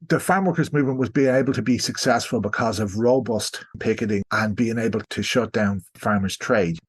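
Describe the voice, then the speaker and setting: A man's voice: medium pitch, no room sound. A man speaking as a historian guest, explaining things informally and in a considered way, with asides and examples.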